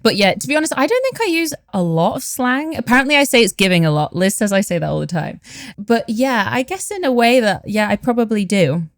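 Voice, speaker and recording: A woman on a podcast as a guest, talking in a relaxed, candid, reflective way. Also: fairly high pitch, a little fast, no echo, studio quality. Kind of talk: casual chat.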